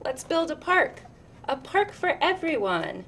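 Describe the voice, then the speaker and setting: Woman voicing a loud, proclaiming town mayor. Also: high voice, big pitch swings, a little slow, a little hiss or hum, pitched above the performer's own voice, exaggerated voice. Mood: decisive. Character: booming, decisive town mayor in a storybook.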